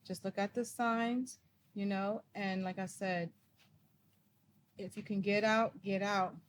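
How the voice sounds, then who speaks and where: Slow, calm woman giving advice. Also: mid-range voice, a little hiss or hum. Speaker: podcast co-host. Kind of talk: supportive podcast discussion.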